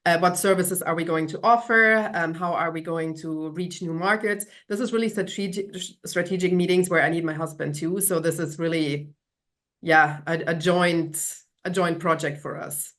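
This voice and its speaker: A woman with a German accent speaks as an interview guest. Her voice is a little low for a woman, and she explains her work as a small business owner in a conversational way. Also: fairly even intonation, normal speed, fairly bright tone.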